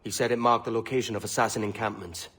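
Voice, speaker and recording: A man's voice: fairly low pitch, little pitch movement, fast talker, fairly bright tone. A man playing a roguish, money-minded young pirate captain in a video game, flippant, colloquial and swaggering. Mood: roguish, defiant. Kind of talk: dramatic video game acting.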